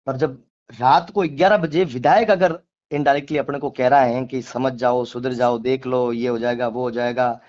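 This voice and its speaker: A man's voice: mid-range voice, fairly even intonation, normal speed, darkish tone. A man speaking defensively and emphatically in an unscripted phone interview, often repeating his phrases. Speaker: devotional singer being interviewed. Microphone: telephone. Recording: dull lo-fi phone call recording.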